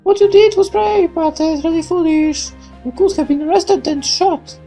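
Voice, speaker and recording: A woman's voice: very high-pitched, normal speed, dark tone, soft background music, pitched above the performer's own voice, rough recording. A woman voicing a young, cautious female factory worker, earnest and protective, in a visual novel game. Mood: urgent warning.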